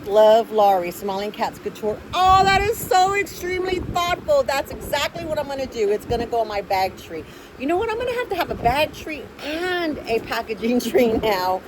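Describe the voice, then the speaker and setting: A woman with an excitable, gushing way of talking, chatting casually as she hosts an unboxing vlog. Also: moderate pace, noisy background. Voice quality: high voice when excited. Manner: gushing, effusive, easily excited. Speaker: vlogger, host of an unboxing channel.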